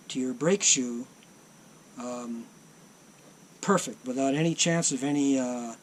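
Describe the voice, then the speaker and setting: Slow, plainspoken man demonstrating repairs. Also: mid-range voice, darkish tone, slight background noise, rough recording. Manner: slow, plainspoken, lots of ums and uhs. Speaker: tape deck repair technician. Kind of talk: workshop demonstration.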